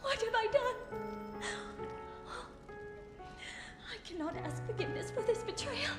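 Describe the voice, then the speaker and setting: Very high-voiced, anxious noble duchess. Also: female voice, expressive, fast talker. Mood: anguished regret. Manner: formal archaic speech, pleading, imperious. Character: anxious young noble duchess, courtly and dramatic. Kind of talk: fantasy video game acting. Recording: echoey room, loud music over voice.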